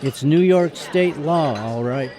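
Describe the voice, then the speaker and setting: A reluctant, glum son acted by a man in a radio play. His voice is fairly high for a man and very mellow, with a hesitant manner. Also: takes it slowly, some chatter behind. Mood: uneasy. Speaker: amateur radio drama actor. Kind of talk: radio drama acting.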